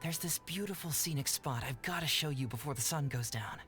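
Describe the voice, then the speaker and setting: Game role: actor as clever boy detective, mid-pitched, articulate, gently teasing, over faint music. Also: brisk, natural voice. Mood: playful, warm. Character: young boy detective, clever, polite, playful. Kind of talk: scripted video game character dialogue.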